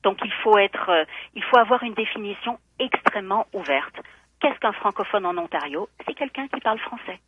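A woman's voice: medium pitch, moderate pace, husky, no echo. A woman speaking by phone in a radio interview as a school board candidate, earnest and thoughtful with many hesitant fillers. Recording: tinny phone line.

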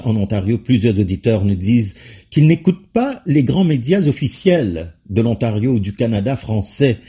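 A man hosting a radio talk show, asking his guest questions in an unhurried way with fillers. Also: mid-range voice, warm tone, husky. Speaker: radio host.

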